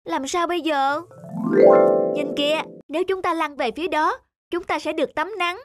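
A woman voicing a squabbling, indignant talking household object in an animated moral tale. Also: sits high, normal speed, close to an everyday voice. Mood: worried. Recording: music behind the voice.

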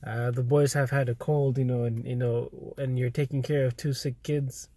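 A man's voice: medium pitch, flat delivery, moderate pace, dark tone, no room sound. A man in his thirties with a stuffy, congested nose, tired, talking casually to a vlog camera in a car. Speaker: vlogger. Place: inside a parked car in daytime. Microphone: camera at close range.

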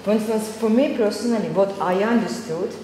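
Mellow Eastern European woman in echoey hall. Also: mid-range voice, a little fast. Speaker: panelist. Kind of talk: halting panel talk in non-native English. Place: gallery room with small audience. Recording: very echoey hall, faint noise.

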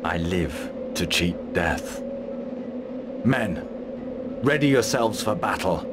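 Slow, low-voiced, grim war commander. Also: male voice, animated, very noisy. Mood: rousing battle cry. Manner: terse, grim, forceful. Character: stern, fearless battle-hardened mercenary commander. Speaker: army commander. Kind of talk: fantasy video game cutscene acting.

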